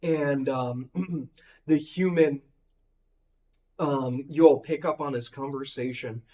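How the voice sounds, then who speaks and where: A hoarse man losing his voice, running a tabletop game and narrating with many ums and restarts. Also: mid-range voice, warm tone. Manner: halting, full of ums and likes. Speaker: game master.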